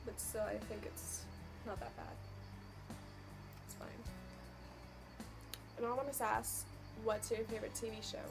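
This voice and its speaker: A woman's voice: fairly high pitch, fairly even intonation, unhurried, gravelly, pauses often, rough recording. Young woman, from Puerto Rico, awkward, umming, casual vlog, music behind. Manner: awkward, hesitant, lots of ums and likes. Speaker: vlogger.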